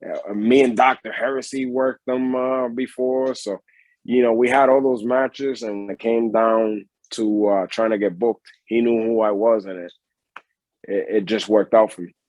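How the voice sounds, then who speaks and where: A man with a Puerto Rican accent answers interview questions at an even middle pitch. He rambles, trips over his words and fills gaps with "uh". The sound is dry, with no room around it. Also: measured pace, dry recording, poor audio. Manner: rambling, many restarts and fillers. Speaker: pro wrestler guest. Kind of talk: casual interview.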